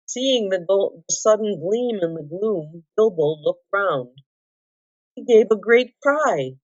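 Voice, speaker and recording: A woman narrates a storybook at a slow, deliberate pace with dramatic phrasing. Her pitch is average, and the sound is echoey and slightly dull. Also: expressive. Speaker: volunteer storybook reader.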